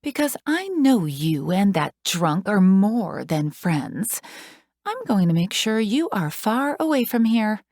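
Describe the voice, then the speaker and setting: A woman's voice: mid-range voice, very animated, takes it slowly, darkish tone, dry recording, studio quality, pitched above the performer's own voice, full character voice. A woman voicing a cruel, menacing woman caretaker who threatens a patient.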